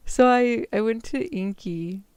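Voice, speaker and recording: A woman chatting casually as a livestreamer, with a creaky voice and a loose, filler-heavy way of talking, close on the microphone. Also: on the high side, unhurried, darkish tone, no echo. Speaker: VTuber streamer practicing ASMR roleplay. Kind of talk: casual livestream chat, roleplay script practice.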